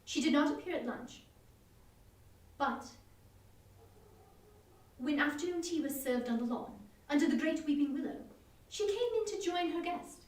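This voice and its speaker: A woman's voice: high voice, moderate pace, pauses often. A woman narrating a story as a dramatic reading. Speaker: reader at a live online performance. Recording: roomy, distant from the mic.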